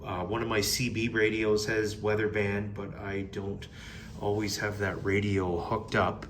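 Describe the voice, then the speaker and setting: A man's voice: lowish voice, flat delivery, unhurried, a bit bright, slight background noise. A man giving a casual, unscripted product review in a plain-spoken, mildly critical way. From Canada.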